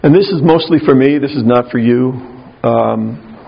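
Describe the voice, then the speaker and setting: An older man with a very low voice giving a conversational spiritual talk to an audience of clergy, with wry, self-deprecating humor. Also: moderate pace, gravelly, husky, muffled recording. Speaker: speaker at a gathering of clergy.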